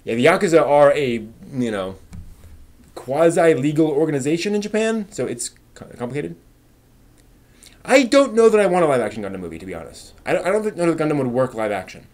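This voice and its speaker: A man hosting a casual live stream, chatting and thinking aloud in a halting way, often trailing off as he answers viewers. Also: a little high, big pitch swings, a little mellow.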